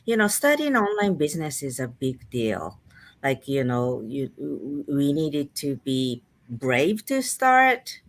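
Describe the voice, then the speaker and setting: A woman from Japan, hosting a casual online business chat in an unhurried way with many fillers. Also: very deep voice, very animated. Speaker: host.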